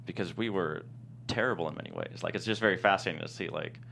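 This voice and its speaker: A man giving a casual interview as a guest writer, talking in a halting, reflective way. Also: low voice, creaky, sharp tone, slight background noise. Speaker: guest screenwriter.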